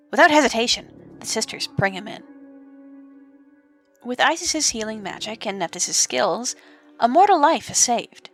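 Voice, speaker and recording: A woman narrating a scripted mythological tale as a storyteller, in an expressive, deliberate manner with dramatic pauses. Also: on the high side.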